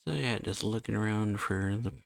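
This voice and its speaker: A man's voice: on the low side, moderate pace, creaky, a little hiss or hum. A man giving casual, offhand reaction commentary as he plays through a video game. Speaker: gaming reaction host. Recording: game music behind voice. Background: video game music.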